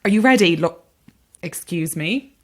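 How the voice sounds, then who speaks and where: Audio drama: anxious stately-home organiser, earnest, polite, pleading, measured slow pace. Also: female voice, fairly low pitch, animated. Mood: admiring, hushed. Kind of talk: audio horror-comedy drama acting.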